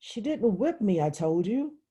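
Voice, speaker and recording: A woman's voice: mid-range voice, big pitch swings, unhurried, mellow, breathy edge, pitched above the performer's own voice. A woman reading the part of an upset, exasperated young girl who confides in her sister with plain, childlike telling, in a novel. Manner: reluctant, plain childlike recounting. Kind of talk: literary audiobook dialogue.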